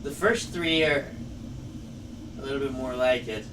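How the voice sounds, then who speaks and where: A man's voice: mid-range voice, unhurried. A young man co-hosting a casual podcast, teasing his partner with dry humor and frequent laughter. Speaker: podcast co-host. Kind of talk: casual chat. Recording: distant mic with steady air-conditioning hum.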